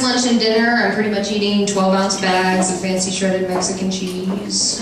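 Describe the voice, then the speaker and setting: Young woman doing standup, deadpan self-mocking, far-off mic and noisy room. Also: mid-range voice. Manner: dry, self-deprecating. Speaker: stand-up comedian. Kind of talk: stand-up comedy set. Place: comedy club stage. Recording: echoey room from far off, crowd noise.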